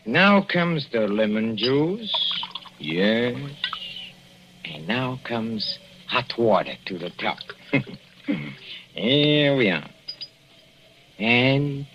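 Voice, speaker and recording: Soft-spoken scheming old husband, chuckling and quietly menacing, 1940s radio play, muffled lo-fi sound, faint hiss. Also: male voice, mid-range voice, big pitch swings, extremely slow, long pauses. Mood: cooing. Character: sly, cooing older husband poisoning his wife. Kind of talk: 1940s radio drama acting.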